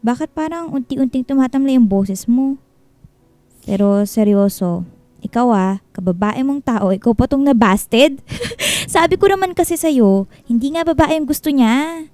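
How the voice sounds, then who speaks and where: An actress playing a frank but caring married best friend giving advice in a radio drama. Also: female voice, sits high, animated, normal speed, a little mellow, no echo. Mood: teasing concern. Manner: candid, consoling, advising. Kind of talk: Filipino radio drama acting.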